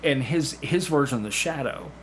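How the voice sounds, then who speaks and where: A middle-aged man with a mid-pitched voice chats as a guest on a podcast. He tells stories in an animated way, slipping into little performances of what people said. There is noticeable ambient noise behind him. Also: moderate pace. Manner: animated storyteller, acts out quoted remarks. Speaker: podcast guest, amateur stage actor. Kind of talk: casual interview storytelling.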